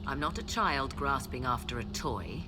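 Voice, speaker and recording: An actress playing a proud, guarded and ambitious sorceress in a fantasy game, speaking in formal, measured, haughty phrases. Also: female voice, extremely low pitch, expressive, music in the background. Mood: intense, defiant. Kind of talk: dramatic scripted game dialogue.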